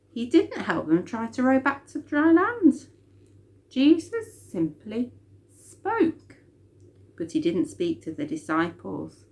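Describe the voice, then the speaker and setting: Lady reading a kids bible story, really slow n lively, lots of dramatic emphasis. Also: fairly low pitch. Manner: slow, dramatic, emphasising key words for children. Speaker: storyteller reading a children's picture book. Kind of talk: expressive children's story reading.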